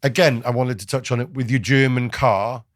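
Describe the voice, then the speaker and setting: A man's voice: mid-range voice, fairly even intonation, moderate pace, dry recording. Emphatic man, dry close sound. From South Wales. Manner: emphatic, argumentative, speeds up when pressing a point. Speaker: podcast host. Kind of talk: casual opinionated discussion.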